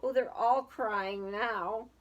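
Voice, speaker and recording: Slow, higher-voiced woman reading to children. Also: fairly even intonation. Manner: dramatic storybook delivery, questioning listeners. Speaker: teacher reading aloud to young children. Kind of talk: expressive picture-book read-aloud with casual asides to young listeners.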